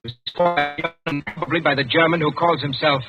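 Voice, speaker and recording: A man playing a shrewd, commanding colonial governor in old-time radio drama, speaking deliberately and sardonically. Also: on the high side, steady tone, lightly acted. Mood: accusing. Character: shrewd, commanding British colonial governor, calmly exposing plotters. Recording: muffled vintage radio recording, music behind.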